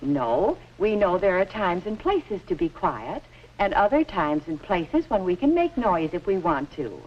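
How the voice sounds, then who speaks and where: A patient, orderly schoolteacher of the 1950s explains things in a lively, carefully phrased way. It is scripted classroom-film speech, with a muffled vintage sound and faint hiss. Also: female voice, on the low side. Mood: patient explaining. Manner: measured, didactic, carefully phrased. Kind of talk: scripted educational film acting.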